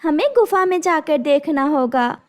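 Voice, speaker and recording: A woman's voice: high voice, unhurried. A woman voicing a resolute female bird who rallies the flock in a children's cartoon, firm and urgent. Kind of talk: Hindi children's cartoon voice acting.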